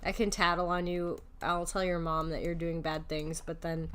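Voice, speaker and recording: A woman's voice: lowish voice, flat delivery, normal speed, fairly bright tone, clear, resonant tone. A young woman streaming a video game, giving casual, joking commentary with slangy, teasing reactions. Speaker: vtuber streamer playing a game.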